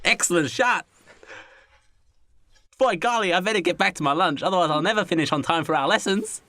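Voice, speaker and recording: A man's voice: high voice, a little fast, sharp tone, no room sound. A man voicing a polite, naive, earnest British schoolboy in a stilted comic radio play style. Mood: cheery.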